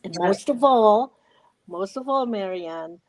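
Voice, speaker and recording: A woman in her sixties with a Filipino accent, speaking reflectively and deliberately as an interview guest. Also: mid-range voice, animated, poor audio. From Philippines. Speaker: podcast guest, retired educator.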